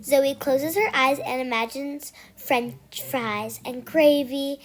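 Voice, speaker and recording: A child reading a children's picture book aloud, haltingly, repeating and correcting words along the way. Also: high-pitched. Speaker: amateur read-aloud reader.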